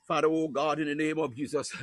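Fervent prayer leader, higher-voiced man. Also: steady tone, fast talker. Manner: declamatory, short phrases punctuated by repeated exclamations to God.

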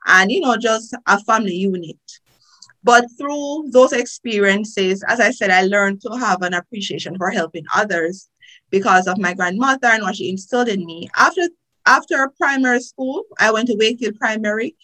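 Jamaican lady guest on a podcast, bright clear voice, rambles along with lots of "you know". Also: mid-range voice, normal speed, rough recording. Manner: chatty storytelling, many fillers. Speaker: guest, tax lawyer. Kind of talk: podcast interview.

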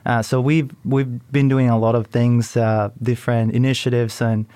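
Young chilean guy on a podcast, smooth clear voice, pretty flat tone, lots of ums. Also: mid-range voice, moderate pace, dry recording. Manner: relaxed, lots of uh and um fillers. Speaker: guest, company founder. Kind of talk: conversational interview.

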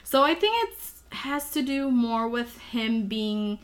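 A woman's voice: on the high side, slow. A young woman from Thailand, a native Thai speaker, co-hosting a podcast in casual chat, giggling often and using many fillers. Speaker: podcast co-host.

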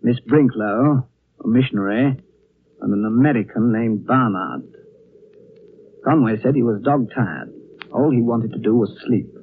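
A man playing a sober gentleman recounting a strange tale in measured, understated 1930s radio drama style. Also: mid-range voice, animated, a little hiss or hum. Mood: grave, reflective. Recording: muffled vintage 1930s radio recording.

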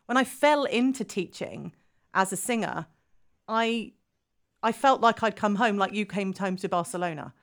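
A woman's voice: mid-range voice, normal speed. A woman who teaches singing and hosts a podcast, speaking in a friendly, earnest way. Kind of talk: casual interview chat.

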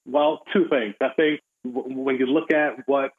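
A man giving opinionated, measured sports commentary as a guest, in colloquial conversational phrasing, over a tinny phone-like line. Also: mid-range voice, fairly even intonation, slightly rough.

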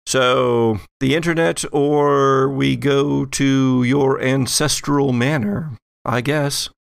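A man acts out a tattooed occultist from a horror tabletop game. The character muses in a mid-pitched voice, hesitating and weighing each phrase, and the sound is dry and close. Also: steady tone, vocal fry, clean tone, no room sound. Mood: musing, hesitant. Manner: hesitant, musing, drawn-out phrasing.

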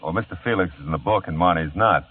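A man's voice: low voice, fast, slight background noise, lightly acted. An actor playing a wry, easygoing male private eye who narrates his own case in a laid-back, hard-boiled way, in 1950s radio drama style. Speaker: narrator and lead character. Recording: tinny old radio broadcast with music.

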